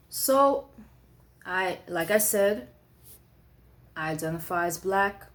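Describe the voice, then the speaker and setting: A woman poet giving a thoughtful, conversational late-night monologue, often pausing with "you know" and "um", and reading her own writing aloud with extra force. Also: on the low side.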